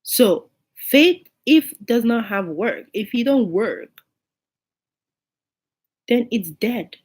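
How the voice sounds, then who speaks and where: A woman with a cold and a hoarse, unclear voice, giving an informal sermon to her online listeners and repeating key phrases for emphasis. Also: a little high, animated, extremely slow, darkish tone. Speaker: online preacher.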